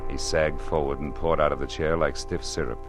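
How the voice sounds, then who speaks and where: A man's voice: a bass voice, fairly even intonation, a little fast, music in the background. An actor playing a cynical hard-boiled private detective, telling his story in dry, wisecracking first person in an old radio drama. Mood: wry narration.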